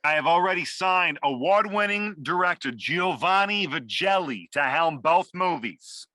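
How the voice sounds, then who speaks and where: A man's voice: on the high side, expressive, measured pace, pushed and bright, no room sound. A man playing a self-important movie showman with a grandiose, declamatory manner.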